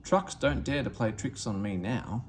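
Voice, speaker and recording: A man voicing a grumpy, bossy young tank engine from a storybook, with a lordly, sharp manner. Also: mid-range voice, measured pace, slight background noise, natural voice.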